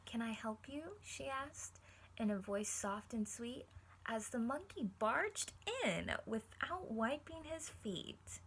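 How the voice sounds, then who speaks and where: Bright-voiced woman reading aloud to children. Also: fairly high pitch, animated, slow, slightly rough, low-quality recording. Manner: encouraging, addresses children directly with simple explanations. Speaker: teacher reading a picture book aloud to children. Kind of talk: read-aloud lesson with questions to young listeners.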